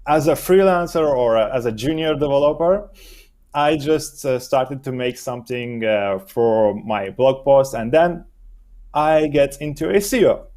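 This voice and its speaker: Man, Romanian native, young adult, slow halting delivery, word-searching interview guest. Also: medium pitch, lively intonation, a little mellow. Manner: halting, searches for words in a second language. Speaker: guest, SEO expert. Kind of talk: casual interview answers.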